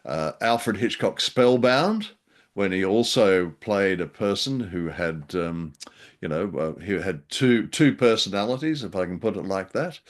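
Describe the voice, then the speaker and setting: Low-voiced, self-deprecating man podcast co-host. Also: animated, unhurried. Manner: self-deprecating, hesitant, many ums and restarts. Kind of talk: casual review chat.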